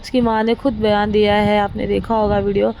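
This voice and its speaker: A woman's voice: mid-range voice, little pitch movement, fairly quick, creaky, a little mellow, slight background noise, low-quality recording. A woman presenter addressing viewers informally with a spoken recap.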